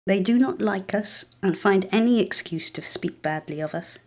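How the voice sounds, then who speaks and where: A woman with a British accent reading a literary short story in a measured, expressive, dramatic way. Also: mid-range voice. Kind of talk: literary short story narration, first person.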